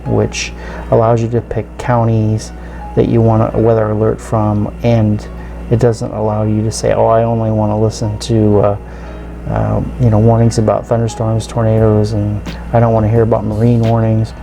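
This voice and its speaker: A man casually demonstrating a product, explaining it step by step in a halting, informal way. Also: on the low side, completely flat delivery, gravelly, music in the background, low-quality recording. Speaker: radio reviewer and video host.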